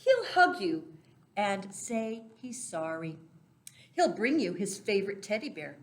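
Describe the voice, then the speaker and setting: A woman reading a picture book aloud to young children as a storyteller, expressive and deliberate, stressing key words and speaking directly to her listeners. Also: medium pitch. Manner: talks directly to young listeners, asking simple questions. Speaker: children's storyteller for a church Sunday school.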